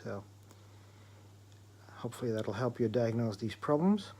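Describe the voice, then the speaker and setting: Male presenter, informal hands-on tech demo, explains methodically in a mid-pitched voice. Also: lively intonation, a little slow, dark tone. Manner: explanatory, step by step. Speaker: presenter of an electronics repair demo. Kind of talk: informal technical demonstration.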